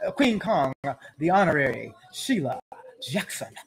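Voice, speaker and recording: A woman's voice: low-pitched, sing-song, unhurried, vocal fry, poor audio. A woman giving casual, profane roast commentary as a show host, brash and mocking, rambling and repeating her phrases.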